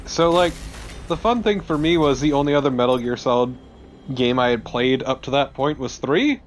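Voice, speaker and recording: A man's voice: medium pitch, normal speed, a little hiss or hum. Sarcastic man chatting over a game. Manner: joking, sarcastic, profane. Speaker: let's play co-host. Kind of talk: casual gaming commentary. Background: video game ambience.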